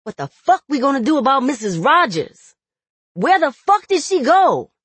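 A woman's voice: fairly low pitch, slow, dry recording. Woman voicing an urgent, desperate young jailed man. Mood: agitated, angry. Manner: urgent, profane, vernacular phrasing. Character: angry, desperate young jailed man, pleading and tender by turns. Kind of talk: dramatic audiobook character dialogue.

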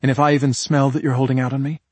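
A man's voice: mid-range voice, flat delivery, racing, slightly rough, dry recording, voice pushed up, close to an everyday voice. A man voicing a tough female homicide detective with a direct, challenging manner in an audiobook.

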